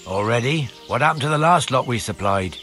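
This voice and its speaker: A man's voice: medium pitch, lively intonation, brisk, sharp tone, soft background music. A man playing a plain, dutiful tradesman in a medieval video game. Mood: cautious, obliging. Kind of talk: video game dialogue acting.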